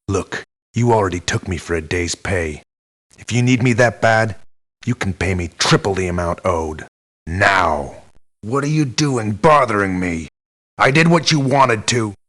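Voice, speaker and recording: An actor playing a jaded, tough mercenary for hire in a video game, blunt and sardonic in delivery. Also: male voice, on the low side, a bit bright. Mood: cynical, dismissive. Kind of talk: scripted video game voice lines.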